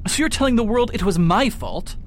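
A man's voice: sits high, sing-song, fairly quick, slight background noise. A man playing a proud, pompous detective and academic in a radio drama, with precise, wordy delivery. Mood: affronted.

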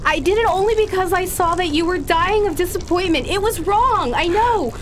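High-voiced pleading, devoted daughter, acted by a woman. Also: animated, moderate pace, a little hiss or hum. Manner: imploring, earnest. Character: earnest, pleading, guilt-stricken devoted daughter. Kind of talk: theatrical radio drama acting.

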